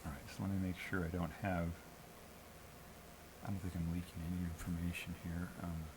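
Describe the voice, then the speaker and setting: Low-voiced American man, hesitant livestream chat. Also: level tone, noisy background. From New England, US. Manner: hesitant, halting, self-critical. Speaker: amateur live streamer. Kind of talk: casual unscripted solo livestream chat.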